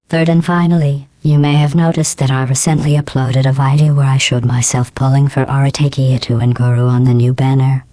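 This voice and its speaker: A woman with a low voice narrates the introduction to a gaming video. The delivery is conversational and explanatory, recorded close and dry as a voice-over. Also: darkish tone, no room sound. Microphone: close microphone, dry voice-over.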